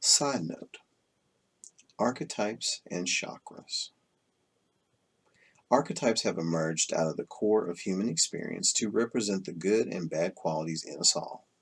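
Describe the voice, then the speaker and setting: Very deep, gravelly male book narrator. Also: a bit bright. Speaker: audiobook reader. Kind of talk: scripted narration, reading a self-help book aloud.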